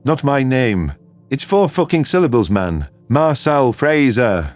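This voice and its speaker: An earnest, exasperated soldier acted by a man with a mid-pitched, lively voice. His retorts are dry and defensive, in a science fiction audio drama with soft music underneath. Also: measured pace. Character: earnest, easily exasperated human male soldier.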